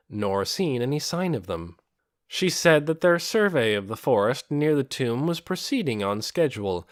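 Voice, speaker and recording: A man's voice: mid-range voice, no room sound, clean studio sound. A man narrating a fantasy audiobook in a scripted, storytelling style.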